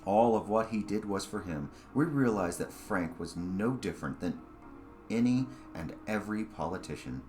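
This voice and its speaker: A man's voice: on the low side, animated, normal speed, a little mellow, faint music behind. A man reading his own short story aloud as scripted narration.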